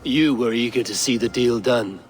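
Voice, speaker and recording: A man's voice: mid-range voice, normal speed, lightly acted. An actor voicing a grave, authoritative king of the gods in a dramatic video game. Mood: grave, troubled. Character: stern, commanding male king of the gods.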